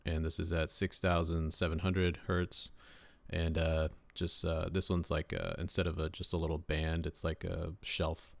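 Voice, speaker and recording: Young guy with a deep voice, gravelly and smooth, dry close sound, lots of ums. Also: flat delivery, unhurried, no room sound. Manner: laid-back, lots of uh and um fillers. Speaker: rapper and home mixing engineer. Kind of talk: casual screen-share mixing tutorial.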